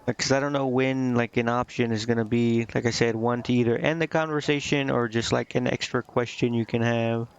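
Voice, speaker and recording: A man streams a game in a casual, rambling way, with a mid-pitched voice. He talks half to himself, hesitating as he goes. The microphone sounds a little dull and muffled. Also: steady tone, a little fast, hardly any pauses, smooth. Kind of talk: casual gameplay commentary, reading game dialogue aloud.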